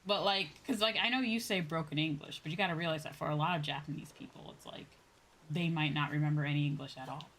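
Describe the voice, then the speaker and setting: A woman's voice: low-pitched, quick pace, a bit bright, a little hiss or hum. A woman livestreamer chatting casually with viewers while gaming, thinking aloud in a rambling way and often trailing off. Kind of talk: casual chat with viewers while streaming a game.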